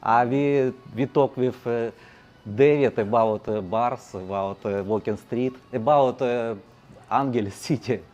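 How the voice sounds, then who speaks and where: A male channel host in casual chat, speaking halting non-native English with many fillers and a native Russian background. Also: mid-range voice, a little mellow, lo-fi sound, soft background music.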